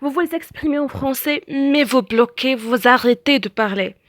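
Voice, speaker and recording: A woman's voice: high-pitched, normal speed, no room sound, clean studio sound. A woman whose first language is Arabic, giving a spoken French lesson and peppering her speech with "voilà" and other fillers while she searches for words. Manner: many fillers, repeats "voilà" often; searches for words, self-correcting. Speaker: French teacher.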